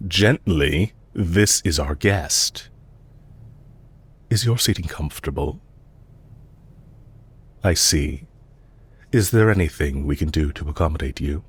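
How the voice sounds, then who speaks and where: Genteel villain captor for audio roleplay, played by a man: low, breathy voice, formal, solicitous, quietly in command. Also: full character voice. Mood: courteous, attentive. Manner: polite, measured, formal, softly commanding. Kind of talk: scripted roleplay audio drama.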